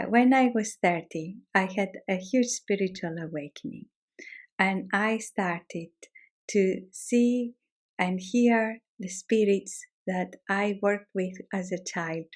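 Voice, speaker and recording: A middle-aged woman from Greece speaks with a Greek accent in a calm, measured way. She talks as a teacher sharing her own experience, often repeating words to stress them. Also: medium pitch. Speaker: shamanic teacher. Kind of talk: reflective personal talk.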